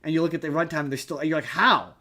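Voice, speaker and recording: A man with a slightly high voice talks casually about films on a podcast. He is opinionated and emphatic, pressing his points in an argumentative, conversational way. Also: fairly even intonation, fast, sharp tone. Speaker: podcast host. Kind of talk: casual movie discussion.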